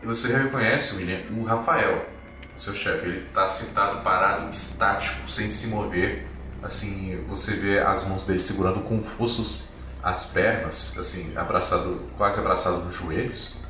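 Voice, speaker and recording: A Brazilian man working as game master, improvising tabletop RPG narration in a halting, descriptive way with frequent tag questions. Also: low voice, steady tone, pushed and bright, slight background noise. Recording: very echoey room, distant, music behind. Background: music.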